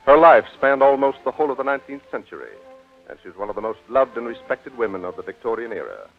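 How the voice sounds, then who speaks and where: A male radio announcer reads a scripted 1940s introduction at a quick pace. His voice comes through a narrow, tinny old recording, with faint music behind. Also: medium pitch, big pitch swings.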